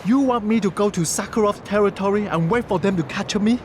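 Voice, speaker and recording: An actor playing an indignant, questioning gang member in a crime video game, with noise behind him. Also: male voice, high-pitched, fast, natural voice. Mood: incredulous.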